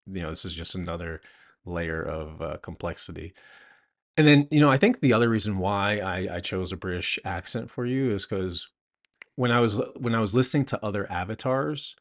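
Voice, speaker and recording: A man with an American accent talks in a deep register. He is hosting a podcast in a loose, unscripted way, and his phrasing is halting as he feels out his words. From United States. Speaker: podcast host. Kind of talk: casual unscripted talk.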